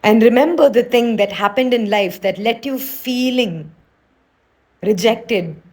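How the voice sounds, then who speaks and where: Slow, high-voiced woman guiding meditation. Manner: soothing, unhurried, gently instructing. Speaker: meditation teacher. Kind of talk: guided meditation narration.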